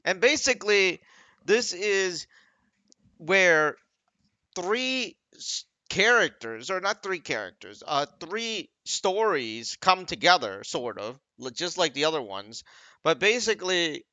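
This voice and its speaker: A man with a bright, forward voice talks casually over a video game. He rambles and keeps second-guessing how to word things as he goes. The recording is very dry and a little dull, like a simple home setup. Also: high voice, very animated, slow pace, no room sound. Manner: rambling, second-guesses his own wording. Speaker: gaming video creator. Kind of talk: casual off-the-cuff game commentary.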